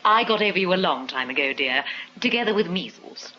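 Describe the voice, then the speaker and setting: An actress playing a brisk, cutting, hard-headed wife and accomplice in a radio comedy drama. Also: female voice, lowish voice, expressive, bright, forceful tone. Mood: sardonic. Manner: cutting, drily mocking. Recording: muffled radio broadcast copy, faint noise.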